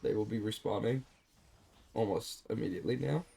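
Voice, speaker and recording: Mellow-voiced man, stuffy, calmly explaining. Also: lowish voice, normal speed, vocal fry, husky. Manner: calm, explanatory, self-deprecating. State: slightly stuffed up. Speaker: streamer reviewing his own game. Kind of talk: gameplay commentary over a replay.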